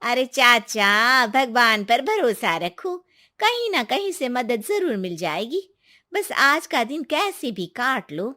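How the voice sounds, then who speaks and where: A kind, secretive village woman acted by a woman in a cartoon folk tale. Her voice is high and bright, swinging widely in melody as she reassures in homely, colloquial words. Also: big pitch swings, slow pace, exaggerated voice. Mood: reassuring. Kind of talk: cartoon moral-story acting.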